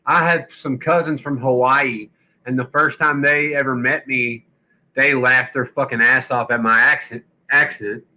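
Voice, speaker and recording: Bright-voiced, blunt man cracking jokes. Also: medium pitch, steady tone, a little slow, poor audio. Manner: blunt and profane, tells corny jokes. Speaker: podcast host. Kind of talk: casual podcast chat.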